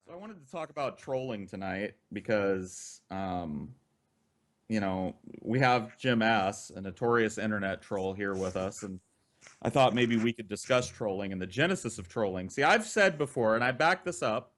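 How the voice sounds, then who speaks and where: A man's voice: on the low side, animated, normal speed, fairly bright tone. A man telling comic personal stories as a podcast co-host, with theatrical emphasis and casual swearing.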